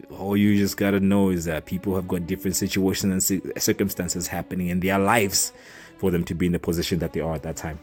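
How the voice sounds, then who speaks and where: A young man from Zambia telling his own life story in a casual, earnest and open way, with animated emphasis. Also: deep voice, brisk, faint music behind.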